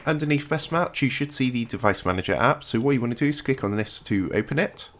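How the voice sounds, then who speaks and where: A man's voice: mid-range voice, expressive, fast talker, a bit bright. A man narrates an instructional screen tutorial in a mid-range voice. He delivers it as a host guiding the listener through each step in order.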